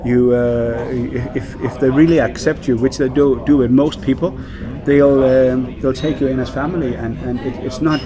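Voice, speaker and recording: A man's voice: mid-range voice, steady tone, moderate pace, few pauses, music in the background. A Danish man working as a whisky brand ambassador, speaking English in a casual interview, with many fillers and repeated words. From Denmark.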